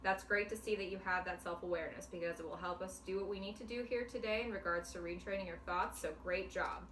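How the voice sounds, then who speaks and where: A woman speaking as a clinician in a demonstration counseling session, calm and patient, explaining step by step. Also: on the low side, fast talker. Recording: echoey room, mic at a distance, faint noise.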